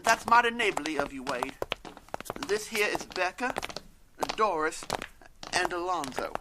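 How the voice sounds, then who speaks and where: A woman's voice: lowish voice, lively intonation, unhurried, background noise. A woman playing a folksy, no-nonsense leader of a small band of survivors in an audio drama. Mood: practical.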